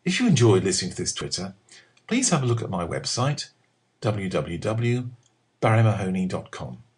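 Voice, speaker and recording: A middle-aged man with an English accent, reading a scripted personal essay in a deliberate, expressive manner with measured phrasing. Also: on the low side, slight background noise. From England. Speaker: writer reading own column.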